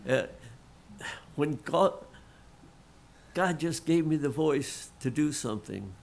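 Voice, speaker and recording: Middle-aged man, lay pastor, slow and earnest sermon, slightly froggy throat. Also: fairly high pitch, expressive. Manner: slow, earnest, folksy preaching with throat clearing. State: frog in his throat, clearing it.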